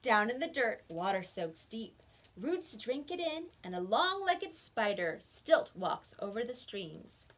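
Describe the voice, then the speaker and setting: A woman with a slightly high voice reads a storybook aloud for young children. Her delivery is expressive and swings widely in pitch, as a teacher's does when stressing the key words of a tale. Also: slow pace. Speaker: teacher reading picture books aloud.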